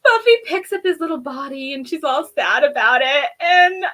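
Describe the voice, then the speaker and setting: Bright, high-voiced, giggly woman chatting. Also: moderate pace. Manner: giggly, animated, acts out imagined reactions. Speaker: co-host of a TV review show. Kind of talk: casual chatty episode recap.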